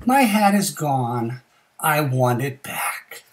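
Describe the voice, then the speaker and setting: Someone doing a sad polite bear who lost his hat, slow n plaintive, voice swoops up a lot. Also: medium pitch, sing-song, far from the mic, a little hiss or hum. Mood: forlorn.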